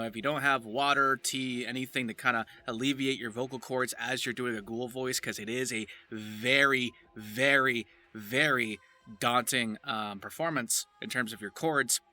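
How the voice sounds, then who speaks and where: A man's voice: medium pitch, sharp tone, dry recording. A man talking casually and informally as a voice actor giving a tutorial, rambling a little and encouraging the listener. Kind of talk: loose, casual instructional talk.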